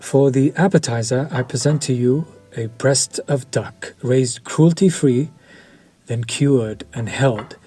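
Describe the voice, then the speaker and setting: Vampire chef, male, courtly and menacing, voiced by a man; breathy mid-pitched delivery, unhurried. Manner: slow, formal and soft-spoken, dropping to near-whispers. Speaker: voice actor. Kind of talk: audio roleplay to the listener.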